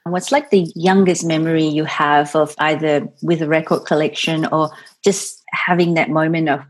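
Husky low-voiced woman interviewer. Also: fairly even intonation, normal speed. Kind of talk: casual interview questions.

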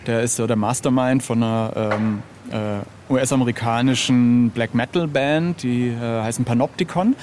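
A man's voice: medium pitch, normal speed, a little mellow, a little hiss or hum. A middle-aged man chatting casually as an interview guest, hesitant and self-ironic, often searching for his words. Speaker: interview guest, illustrator of record covers.

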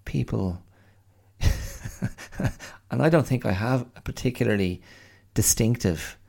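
A man's voice: mid-range voice, unhurried, vocal fry, warm tone. A man with a middle-class Dublin accent, hosting a show and musing aloud in a wry, reflective monologue. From Ireland. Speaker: podcast host.

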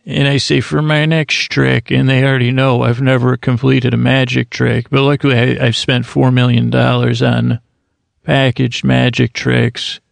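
A man's voice: medium pitch, little pitch movement, moderate pace, creaky, dry recording. A man hosting a podcast, rambling through a goofy improvised monologue with many restarts and asides. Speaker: podcast host.

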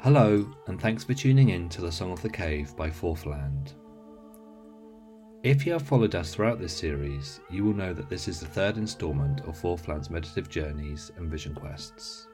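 Low-pitched man introducing a meditation. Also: animated, normal speed, a little mellow, soft background music. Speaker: host introducing an audio series. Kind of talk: scripted introduction to a guided meditation.

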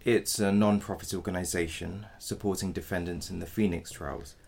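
An actor in an audio drama playing a polite but blunt defence solicitor, gravelly and measured in his delivery. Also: deep voice, fairly even intonation, darkish tone, exaggerated voice. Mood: polite, sympathetic. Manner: measured, matter-of-fact, formally polite. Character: apologetic but blunt male defence solicitor in dystopian future.

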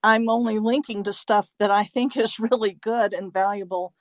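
Woman, average pitch, earnest interviewer, agreeable conversational delivery, dry studio-like closeness. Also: steady tone, moderate pace, dry recording. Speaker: interviewer, narrator and website owner.

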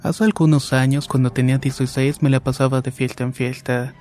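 A man narrating horror stories from a script in a calm, even reading. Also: medium pitch, brisk, soft background music.

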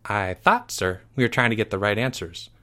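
A man's voice: on the low side, normal speed, sharp tone. A man voicing an anxious, earnest schoolboy who speaks politely and hesitantly in a story. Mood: earnest, doubting.

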